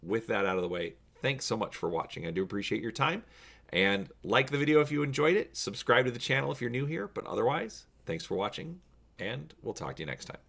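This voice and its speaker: A man's voice: mid-range voice, sing-song, brisk, gravelly, fairly bright tone. A man hosting a story read-along, addressing viewers directly in a casual, emphatic way and reading narration aloud. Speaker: host reading a choose-your-own-adventure story.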